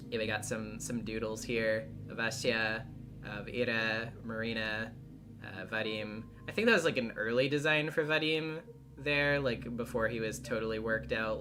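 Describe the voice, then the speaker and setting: Slow, halting man chatting on livestream. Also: medium pitch. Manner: halting, trailing off mid-thought. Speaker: streamer and voice actor hosting a visual novel readthrough. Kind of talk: casual livestream chat. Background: background music.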